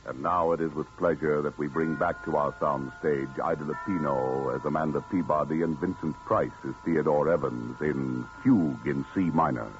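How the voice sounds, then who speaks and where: Very deep-voiced old radio announcer, man. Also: normal speed, hardly any pauses. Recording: dull old radio broadcast with music.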